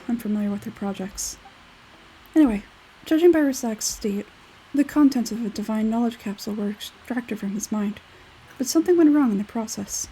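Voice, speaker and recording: A woman acts out an aloof young male scholar from a fantasy game. The tone is mellow and soft-edged, and the delivery is measured, detached and matter-of-fact. There is faint noise behind the voice. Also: fairly high pitch, close to an everyday voice, poor audio. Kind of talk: video game character dialogue read aloud.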